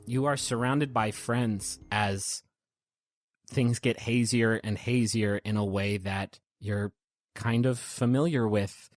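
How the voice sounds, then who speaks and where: A man narrating a tabletop game as game master, in gentle, descriptive storytelling. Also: lowish voice, fairly even intonation, slow pace, no room sound, clean studio sound. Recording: prominent piano music underneath.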